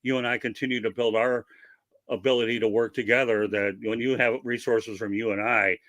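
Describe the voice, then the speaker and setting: A male host talks on and on in a chatty, promotional way over a video call. His tone is bright and forceful. The sound is dry and close. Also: mid-range voice, little pitch movement, fairly quick, no echo. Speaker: interview host. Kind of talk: casual interview chat.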